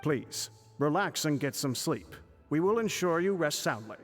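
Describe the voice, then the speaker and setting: A man's voice: a little high, animated, unhurried, faint music behind. A man playing a devoted, resolute bodyguard in a video game, with a formal, earnest manner. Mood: reassuring.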